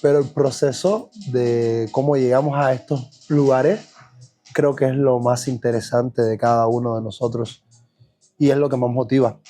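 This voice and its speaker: A man from Cuba with a Cuban Spanish accent, a dancer recounting his childhood in a relaxed, reflective podcast interview, with frequent fillers and restarts. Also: mid-range voice, moderate pace, soft background music. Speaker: guest dancer. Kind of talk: podcast interview, personal storytelling.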